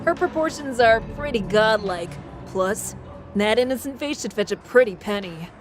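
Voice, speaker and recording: A woman's voice: a little high, lively intonation, moderate pace, over music, natural voice. A woman voicing an impish demon boy in an audio drama, teasing and sly, with a theatrical drawl. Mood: sly, bargaining.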